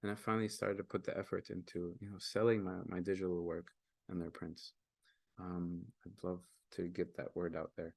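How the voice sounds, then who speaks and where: A young man with a somewhat low voice, a native Arabic speaker from Kenya, walks through his creative work in an earnest, casual manner. He stops and restarts often and fills gaps with ums. The sound is dry and close, as on a video call. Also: flat delivery, normal speed, vocal fry, dry recording. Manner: earnest, with frequent fillers and restarts. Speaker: guest artist presenting his work. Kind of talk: casual presentation.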